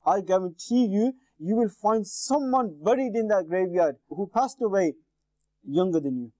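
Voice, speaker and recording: A man in his thirties preaches earnestly to the camera, his voice sitting fairly high yet sounding mellow and warm. He urges his listeners directly, seated at a studio desk with a lapel mic. The sound is dry and close, with no room echo. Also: expressive, normal speed. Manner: earnest exhortation, addresses viewers directly. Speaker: Islamic preacher. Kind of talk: sermon to camera. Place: indoor TV studio with painted backdrop.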